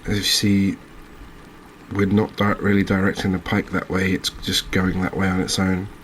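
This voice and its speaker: The deep-voiced man on a late-night cozy gaming channel, murmuring measured, gentle commentary over a bed of background noise. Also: flat delivery. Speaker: host playing a fishing video game. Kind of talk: soft-spoken ASMR game commentary.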